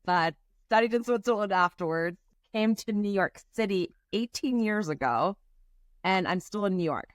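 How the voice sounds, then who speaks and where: A woman from Germany, a guest on an interview podcast, chatting in a quick, friendly way and explaining at length. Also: on the low side, no echo. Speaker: venture capital guest. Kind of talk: casual interview chat.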